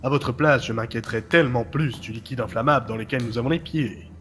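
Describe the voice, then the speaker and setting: A man acting a mocking, menacing director in an audio drama, with deliberate, theatrical taunts. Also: medium pitch, fairly bright tone, natural voice. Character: mocking, menacing male director, hero's forgotten other self. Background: music.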